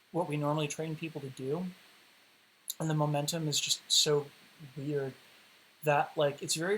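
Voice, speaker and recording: A man with a slightly high voice that is wearing thin and hoarse hosts a livestream Q&A, explaining poi tricks in a loose, chatty way with lots of "um"s and restarts. He sometimes drifts away from the mic as he demonstrates. A faint hiss sits under the home recording. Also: a bit bright, rough recording. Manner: chatty, rambling explanations with many fillers and restarts. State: losing his voice. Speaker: poi spinning teacher hosting a livestream Q&A. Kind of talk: casual livestream Q&A and teaching.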